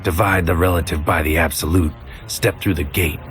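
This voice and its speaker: A low, brooding male voice for a world-weary psychic operative in a noir sci-fi audio drama. He is terse and deliberate, and prominent music runs underneath. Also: fairly even intonation, a bit bright. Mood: grim, brooding. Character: world-weary psychic agent man narrating his dangerous mission.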